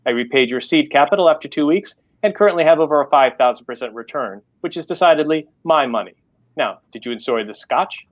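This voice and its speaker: A man's voice: on the high side, lively intonation, fairly quick, muffled recording, lightly acted. A man voicing a formal, exacting AI butler with a refined American accent and a dry, scolding temper. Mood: prim, exacting.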